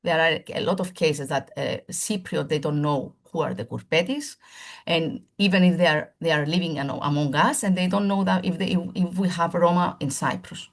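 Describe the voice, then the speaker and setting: A woman's voice: deep voice, fairly even intonation, moderate pace. A woman, a primary school teacher, giving a seminar presentation in formal lecture phrasing, earnest and often restarting as she searches for words.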